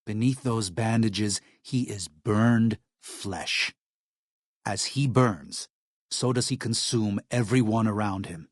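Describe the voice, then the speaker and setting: Low-voiced, earnest missionary medic. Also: male voice, dry recording, clean studio sound. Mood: grave, solemn. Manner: measured, solemn, plain-spoken. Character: earnest, weary missionary medic protecting a tribe. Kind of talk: video game character dialogue.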